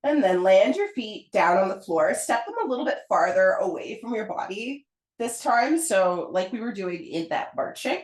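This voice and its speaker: Hoarse woman, pilates instructor, patient step-by-step cueing, echoey room. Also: medium pitch, normal speed. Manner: calm, encouraging step-by-step cueing. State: hoarse, getting over a cold. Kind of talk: guided pilates class cues. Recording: roomy echo.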